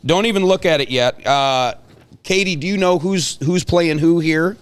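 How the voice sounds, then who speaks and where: A man's voice: fairly high pitch, moderate pace, smooth. A man hosting a casual chat, playfully prompting and hyping up the moment in a mock-dramatic way. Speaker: host.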